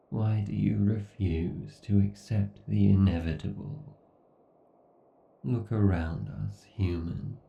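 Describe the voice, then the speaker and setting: A man playing an obsessed, condescending villain AI god in a horror ASMR roleplay, with a brooding, theatrical, menacing delivery. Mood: smug condescension. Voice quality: gravelly, dark, low. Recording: dull, roomy, slightly distant sound.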